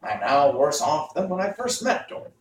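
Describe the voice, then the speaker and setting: Man playing a gentle, earnest scarecrow, echoey. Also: fairly high pitch, big pitch swings, a little fast, voice pushed up. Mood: mournful. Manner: plaintive, earnest. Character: gentle male scarecrow, self-doubting straw man. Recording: roomy, distant, faint noise.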